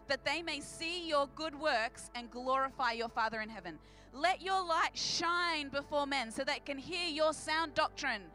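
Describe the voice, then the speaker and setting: Female Australian preacher, high and bright, projecting hard with emphatic repetition, music underneath. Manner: emphatic, repeating phrases for effect; big, projecting voice. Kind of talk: sermon to a church congregation. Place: church service.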